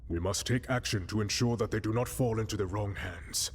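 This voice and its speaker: A man playing a solemn, resolute warrior king in a video game, speaking in a measured, regal manner. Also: fairly low pitch, slight background noise, natural voice. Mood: grave, wise. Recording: distant voice, music behind.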